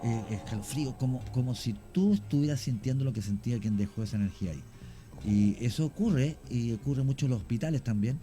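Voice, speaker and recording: A man's voice: mid-range voice, fast talker, dark tone. A man with a Chilean accent, a radio guest giving long explanations full of fillers and restarts. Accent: Chilean Spanish.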